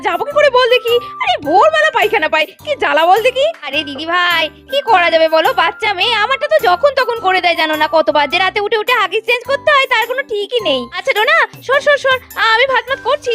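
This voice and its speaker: Village mother for a doll story, woman acting: exclamatory, scolding, very high and lively, music underneath. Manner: exclamatory, chatty. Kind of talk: Bengali children's doll-play story voices.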